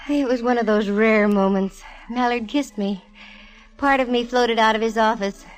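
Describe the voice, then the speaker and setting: A woman's voice: a little high, steady tone, normal speed, natural voice, rough recording. An actress playing a wisecracking, self-assured female private eye in a vintage radio detective drama, wry and breezy in delivery. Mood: dreamy, amused. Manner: wry, breezy, dryly humorous. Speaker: lead actress narrating a detective serial. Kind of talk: 1940s radio detective acting and narration. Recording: vintage radio recording with musical backing.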